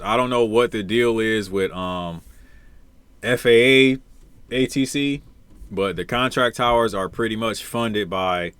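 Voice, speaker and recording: A man's voice: on the low side, a bit bright. An American man giving casual commentary, repeating his phrases for emphasis. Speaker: commentator, former air traffic controller. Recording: noticeable background noise.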